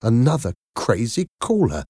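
Storybook mother, male reader: earnest, anxious, easily exasperated, higher pitched, very expressive. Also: normal speed, gravelly, breathy edge, dry recording, clean studio sound, exaggerated voice. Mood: angry.

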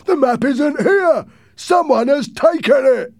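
A male reader puts on a rough, excitable pirate henchman for an adventure storybook. The voice jumps up high and strained, calling out loudly and urgently as if across a yard. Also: very slow, no echo, studio quality, heavily acted. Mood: agitated. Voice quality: strained, shouted.

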